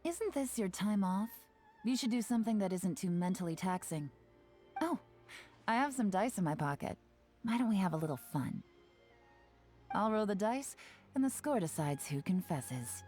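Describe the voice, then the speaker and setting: Mellow, teasing spymaster played by a woman. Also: mid-range voice, expressive, normal speed, pauses often, natural voice. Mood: playful, probing. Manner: teasing, smooth, self-assured. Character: shrewd, playful female spymaster, teasing and confident. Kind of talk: scripted video game character dialogue. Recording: game music behind the voice.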